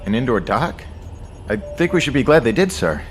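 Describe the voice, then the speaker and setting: An actor playing a deferential, uneasy submarine executive officer in an audio drama, respectful and questioning. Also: male voice, medium pitch, big pitch swings, moderate pace, soft background music, lightly acted.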